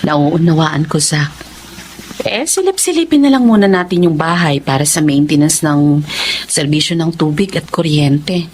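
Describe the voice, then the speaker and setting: A supportive, practical Filipino wife in a radio drama. Her voice sits slightly low, calm and reassuring, with a Filipino accent. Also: normal speed, breathy edge. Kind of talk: Tagalog radio drama acting.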